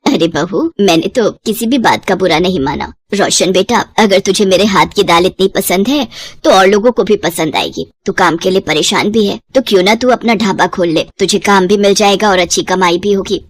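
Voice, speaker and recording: A woman's voice: mid-range voice, moderate pace, no room sound, voice pushed down, natural voice. A devoted, poor village mother from a moral cartoon story, played by a female narrator. She speaks humbly and tenderly, with a pleading touch, in a dry recording.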